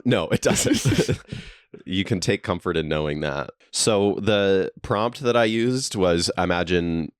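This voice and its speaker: A man's voice: a bass voice, dry recording, studio quality. A young man co-hosting a podcast in a friend's basement, easygoing and agreeable, chuckling as he chats. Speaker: podcast co-host. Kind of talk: casual podcast chat.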